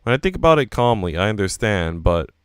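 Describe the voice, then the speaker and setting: A man's voice: lowish voice, big pitch swings, fairly quick, clear, resonant tone, no room sound. A man reading visual novel narration aloud in a live, casual way, now and then restarting a line.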